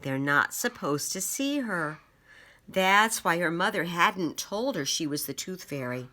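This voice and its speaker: Children's story narrator, woman, bright clear-cut tone, lively melodic range. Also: on the low side, measured pace. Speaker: storybook reader.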